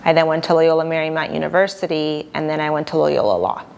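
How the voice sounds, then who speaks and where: A woman attorney from Argentina with a low voice and a gravelly, creaky edge. She answers questions in a calm, measured, plain-spoken way. Faint noise sits behind her. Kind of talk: interview answers.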